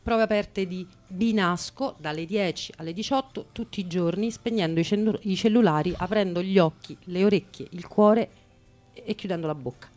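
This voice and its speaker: A woman's voice: fairly low pitch, normal speed, darkish tone, faint music behind. A woman hosting a radio show, casually giving closing remarks and announcements. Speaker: radio host.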